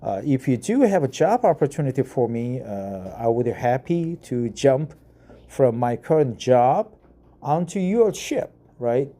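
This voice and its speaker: A man in his fifties from Korea, with a Korean accent, reading an article aloud and commenting, halting with many fillers and repeated "right?"s. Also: mid-range voice, very animated, warm tone. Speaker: YouTube reader of articles.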